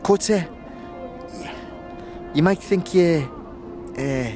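A man playing a shifty, chatty young street guide in an audio drama, with halting slangy talk. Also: on the high side, over music, voice pushed up. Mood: wheedling.

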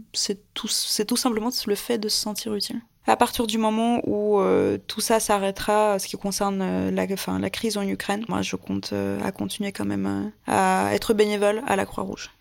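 A woman in her late twenties from Russia, telling her story as a volunteer interpreter, hesitant with many pauses and fillers. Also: medium pitch, vocal fry, no room sound. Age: 20s. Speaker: Red Cross volunteer interpreter. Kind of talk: personal testimony.

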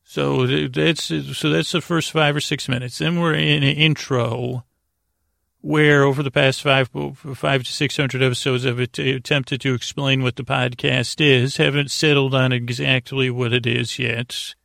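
Man, gravelly creak, rambling sleep podcast host, meandering and digressive, dry close sound. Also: mid-range voice, flat delivery, measured pace, a bit bright, no room sound. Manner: meandering, circles back, loses his thread. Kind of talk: rambling bedtime podcast monologue.

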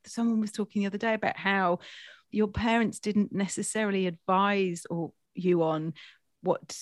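A woman's voice: mid-range voice, fairly even intonation, normal speed, no echo. A middle-aged woman hosting a casual interview, with informal, tentative phrasing. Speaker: interview host. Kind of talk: casual chat.

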